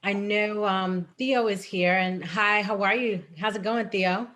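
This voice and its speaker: A woman's voice: medium pitch, a little slow, a bit bright. A woman moderating an online candidate forum, friendly and chatty, restarting phrases with many ums, on a video call. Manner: friendly, filler-heavy, repeats herself.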